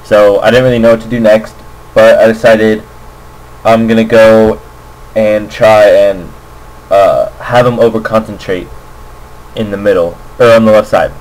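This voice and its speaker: Low-voiced man, halting casual game commentary. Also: level tone, a little mellow, poor audio. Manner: hesitant, halting, thinking aloud. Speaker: amateur Go player, commentator. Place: indoors at a computer, screen recording voice-over. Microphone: makeshift computer microphone.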